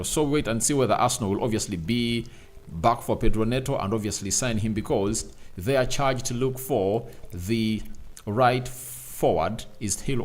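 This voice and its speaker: A man hosting a casual football transfer news show, rambling and talking straight to his viewers. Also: fairly low pitch. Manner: rambling, repeats "obviously" and "I tell you", talks to viewers directly. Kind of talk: casual solo commentary.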